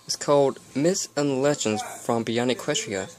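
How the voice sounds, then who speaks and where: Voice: medium pitch, normal speed, natural voice. A reader voicing a studious, earnest young female pony princess in a storybook, explaining carefully.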